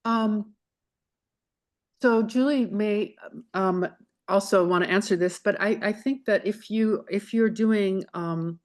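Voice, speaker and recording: A woman hosting an online information session, moderating questions in a measured way with fillers. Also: mid-range voice, darkish tone. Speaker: faculty host of info session. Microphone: video call.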